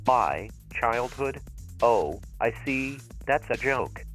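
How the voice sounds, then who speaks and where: A man's voice: mid-range voice, expressive, slow, fairly bright tone. A synthetic male voice playing a troubled, plaintive robot therapy patient in a dubbed game story. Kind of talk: text-to-speech dub of a robot game story. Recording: faint music behind synthetic voice.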